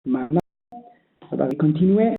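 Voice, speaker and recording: A man's voice: fairly high pitch, expressive, normal speed, gravelly, a little hiss or hum. A man who is not a native French speaker, presenting a webinar and explaining online registration, hesitant with many fillers and restarts. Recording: echoey phone-like webinar audio.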